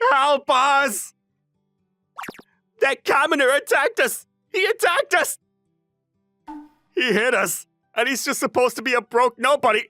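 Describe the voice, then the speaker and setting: A man's voice: very high voice, measured pace, long pauses, bright, forceful tone, no room sound, clean studio sound, soft background music, heavily acted. A man voicing a spoiled, hot-headed elite schoolboy bully in an anime-style comic dub, haughty, indignant and whiny. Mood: whiny pleading.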